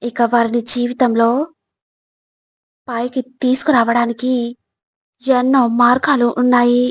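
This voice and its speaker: A woman reading scripted motivational narration in a measured, instructive way, phrase by phrase. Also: on the high side, monotone, slightly rough, dry recording.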